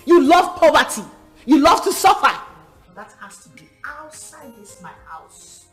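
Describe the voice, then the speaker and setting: A woman's voice: mid-range voice, very animated, measured pace, bright, forceful tone, soft background music. A woman acting as a scornful, hot-tempered housemate in a film drama, scolding sarcastically and emphatically with a Nigerian accent. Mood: angry, cold.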